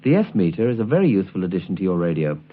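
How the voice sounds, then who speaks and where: Male presenter for scripted how-to narration; animated intonation, somewhat deep, slightly rough-edged voice, didactic delivery. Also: very fast, narrow, tinny audio. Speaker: presenter of a shortwave listening guide.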